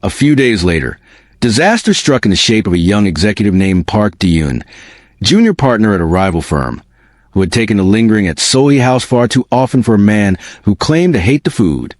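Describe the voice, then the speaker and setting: A man narrating a romantic drama in a measured, dramatic storytelling style. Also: on the low side, very animated, no room sound, clean studio sound.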